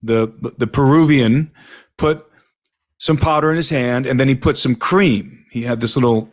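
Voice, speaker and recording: A man's voice: medium pitch, normal speed. A man, an investigator, earnestly recounting a witness's account in detail, often repeating himself as he gathers his words. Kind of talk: recounting an interview.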